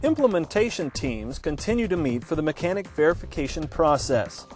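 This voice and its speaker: High-voiced male news anchor over music. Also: big pitch swings, fairly quick. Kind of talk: scripted news narration.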